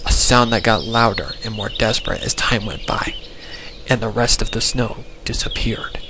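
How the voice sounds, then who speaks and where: A man's voice: lowish voice, moderate pace, in a whisper. A man reading a scripted horror story as a dramatic narrator. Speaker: story reader. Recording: loud music bed under the voice. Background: music.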